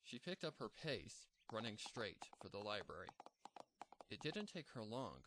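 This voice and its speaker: A man narrating a dark fan fiction story in a measured, somber reading. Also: on the low side, very animated, background noise. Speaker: fanfic narrator.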